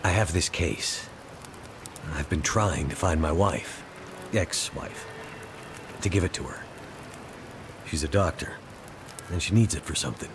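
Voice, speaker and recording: A man's voice: very deep voice, measured pace, pauses often, background noise. An actor playing a weary, stubborn, self-doubting man out searching the wilderness in a video game drama. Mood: frustrated, searching.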